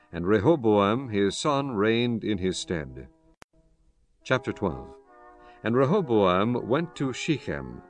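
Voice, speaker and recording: A male narrator with a fairly low voice reads scripture as drama. His delivery is formal and solemn, and music plays softly behind him.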